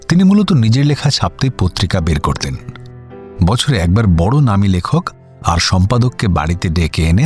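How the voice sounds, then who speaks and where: A man narrating a scripted radio story. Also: low-pitched. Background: background music.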